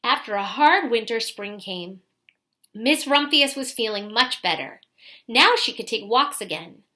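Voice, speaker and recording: A woman with a high, bright voice reads a picture book aloud to children. Her delivery is expressive storytelling, with the manner of a teacher drawing young listeners in. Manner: pauses to ask young listeners questions.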